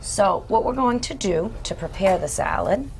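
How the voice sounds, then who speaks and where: A woman's voice: medium pitch, lively intonation, moderate pace, vocal fry, a little hiss or hum. A young adult woman chef explaining a recipe step by step on a studio kitchen set. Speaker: guest chef. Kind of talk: cooking demonstration.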